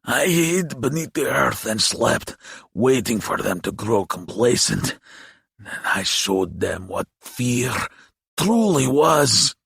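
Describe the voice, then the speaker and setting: A man voicing a frail, dying old demon for an audiobook, proud and menacing, with a croaking, hissing, breathy voice and slow, grandiose delivery. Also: medium pitch, big pitch swings, a bit bright, no room sound, studio quality, heavily acted. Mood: menacing, demanding. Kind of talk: dramatic audiobook character voice.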